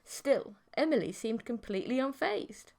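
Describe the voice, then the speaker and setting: Animated, higher-voiced woman narrator. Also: moderate pace, creaky. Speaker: audiobook narrator. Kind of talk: scripted comic first-person story narration.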